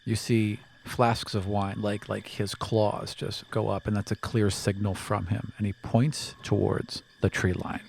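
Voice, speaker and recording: A man narrating as game master in a calm, descriptive way. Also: lowish voice, unhurried, vocal fry, hardly any pauses, slight background noise.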